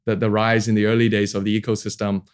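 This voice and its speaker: A man's voice: lowish voice, quick pace, clear, resonant tone. A man in his forties from Hong Kong, a venture capital founder, talking casually in an interview with many fillers and restarts. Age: 40s. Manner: relaxed, many fillers and restarts, laughs easily.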